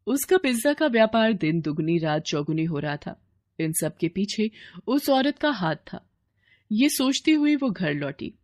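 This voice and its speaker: A woman's voice: medium pitch, sing-song, a little slow, darkish tone. A woman reading a scripted children's moral story as narrator.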